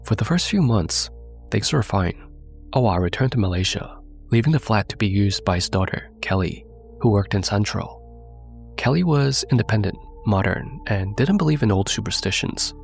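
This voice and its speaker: A man with a low, gravelly voice tells a scary story as host of his own show, his nose stuffed up from a cold. He speaks in a measured, suspenseful way and talks straight to his listeners, with soft music underneath. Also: expressive. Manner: measured, suspenseful delivery, addressing viewers directly. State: congested from a cold. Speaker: narrator and host. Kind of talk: scripted horror storytelling.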